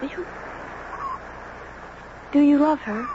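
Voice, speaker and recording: A high little girl voice, blunt and earnest, old muffled radio play. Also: very slow. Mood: insistent. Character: Nikki, earnest, intuitive young girl, stubbornly direct. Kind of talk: 1970s radio drama acting. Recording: dull lo-fi old radio, faint noise.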